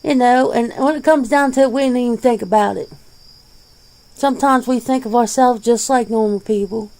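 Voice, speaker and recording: Casual werewolf interviewee for ASMR roleplay, female performer: dark, relaxed tone, gravelly edge, plain-spoken and folksy. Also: on the high side, normal speed, close to an everyday voice, poor audio. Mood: casual, candid. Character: laid-back, plain-spoken werewolf being interviewed, peace-minded.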